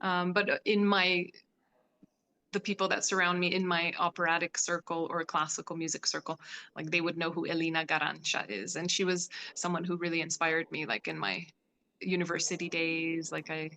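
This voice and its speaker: A woman's voice: on the low side, fairly even intonation, normal speed, bright, forceful tone. A woman from Poland, a classical singer, talking casually as an interview guest with frequent "like" fillers. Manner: chatty, many "like" fillers.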